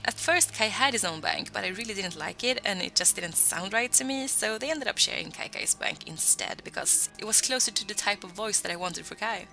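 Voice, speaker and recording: A young woman talks casually in a vlog, chatty and self-deprecating. Her mid-pitched voice has a gravelly fry to it. Music plays clearly behind her. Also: expressive, a little fast, hardly any pauses, pushed and bright. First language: Swedish. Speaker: voicebank creator answering fan questions.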